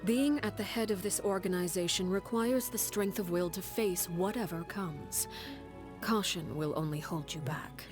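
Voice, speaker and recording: An actress voicing a cold, commanding woman who heads a household of orphans, speaking in a calm, measured, stern way in a video game. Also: fairly low pitch, close to an everyday voice. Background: game score music.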